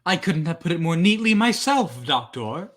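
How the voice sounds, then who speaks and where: A man's voice: fairly high pitch, sing-song, normal speed. A man acting in an old-time radio drama as a cool, conscientious hired killer, with formal, understated politeness. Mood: smugly defiant.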